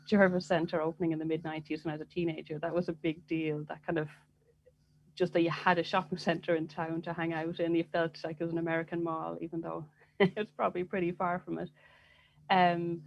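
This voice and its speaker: A woman's voice: fairly low pitch, a little hiss or hum, rough recording. Chatty self-deprecating Dublin woman. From Ireland. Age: 40s. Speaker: guest writer. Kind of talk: casual conversational interview.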